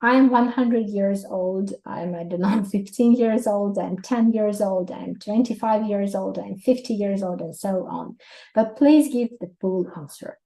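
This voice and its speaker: A woman in her thirties with a Russian accent teaches an exam prep lesson. Her voice is mellow and warm, and she explains in a lively way, with examples and easy laughs. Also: medium pitch, unhurried, rough recording. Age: 30s. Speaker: English teacher.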